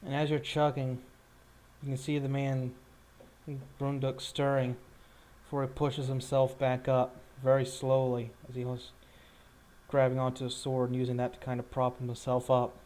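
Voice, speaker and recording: A man's voice: mid-range voice, monotone, normal speed, a little mellow. A man acting as game master, narrating a tabletop roleplaying game in an improvised way.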